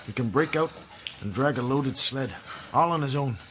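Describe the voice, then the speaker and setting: A man's voice: medium pitch, animated, moderate pace, a bit bright, slight background noise, close to an everyday voice. An actor playing a proud gold prospector who coaxes his dog with fervent love, in a radio drama. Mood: boastful. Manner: fervent, coaxing.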